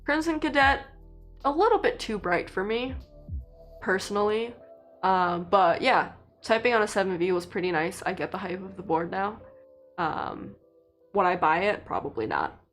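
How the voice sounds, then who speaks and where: Relaxed young woman, native Mandarin speaker. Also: mid-range voice, moderate pace, soft background music. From China. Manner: relaxed, rambling, many fillers and restarts. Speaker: livestreamer. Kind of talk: casual live-stream chat with viewers.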